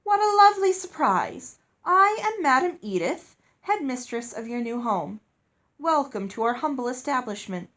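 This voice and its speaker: Woman playing an older headmistress, high lilting voice, genteel, gushing, formal, storybook character. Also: big pitch swings, normal speed, a bit bright. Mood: effusive. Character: effusive, proper gray-haired headmistress of finishing school.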